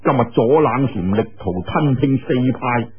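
A man acts out an earnest, sharp-witted young swordsman from an audiobook, musing to himself in Cantonese. The voice is very husky and expressive, with scoffing little interjections. It sounds thin and band-limited, like radio. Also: medium pitch, measured pace, phone-quality sound. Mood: hopeful musing.

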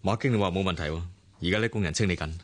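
Low-voiced, unflappable Cantonese businessman. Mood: composed. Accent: Hong Kong Cantonese. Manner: measured, reassuring. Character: calm, composed businessman, reassuring and unflappable. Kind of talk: Cantonese radio drama acting.

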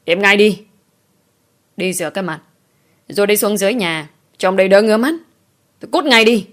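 A woman's voice: on the low side, animated, normal speed. A woman voicing a furious, strict middle-aged village father who scolds and growls at his daughter. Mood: furious scolding.

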